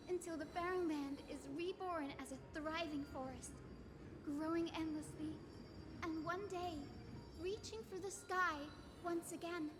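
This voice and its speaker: A woman voicing a young, gentle heroine in a game, speaking with tender, earnest resolve. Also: extremely high pitch. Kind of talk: dramatic scripted game dialogue. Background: game music.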